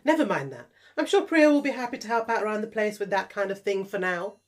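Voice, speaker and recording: A woman's voice: medium pitch, lively intonation, quick pace, natural voice. A British woman playing a rich, eccentric, flippant woman adventurer in an audio drama, posh and theatrical. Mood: grandly enthusiastic. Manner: flippant, theatrical, genteel endearments.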